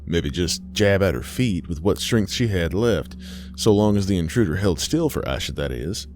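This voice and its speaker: A man's voice: sits low, animated, moderate pace, a little mellow. A man narrating a scripted Southern Gothic horror story in a folksy storytelling manner. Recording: faint music underneath. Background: faint music.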